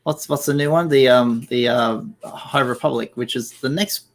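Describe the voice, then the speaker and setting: A man's voice: medium pitch, normal speed. A man from Australia chatting and joking on a livestream. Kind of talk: casual chat on a livestream. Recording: noisy stream audio with clicks.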